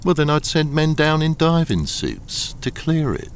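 An actor playing a suave smuggling boss in a radio crime drama, smooth, patronizing and politely threatening. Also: male voice, on the high side, sing-song, a little slow, slight background noise. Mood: dismissive. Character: suave menacing smuggling boss, coolly blackmailing a deserter.

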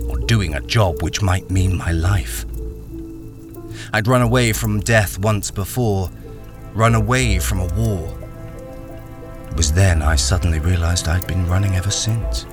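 An actor playing a wary, cornered army deserter working as a miner in a radio crime drama, hesitant and grim. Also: male voice, low-pitched. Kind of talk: radio crime drama acting with first-person narration.

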